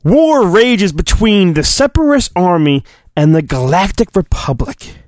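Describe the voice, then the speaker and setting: A man's voice: high-pitched, very animated, a little slow, darkish tone, no room sound, clean studio sound. A man hosting a podcast, casual and self-deprecating, reading a children's storybook aloud in a playful mock-dramatic way. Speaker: podcast host.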